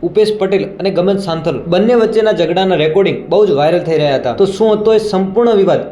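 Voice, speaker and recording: A man reads a scripted news explainer as host of a channel. His voice sits high and moves along quickly. There is a roomy echo around it. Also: warm tone, a little hiss or hum. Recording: roomy echo, faint music behind.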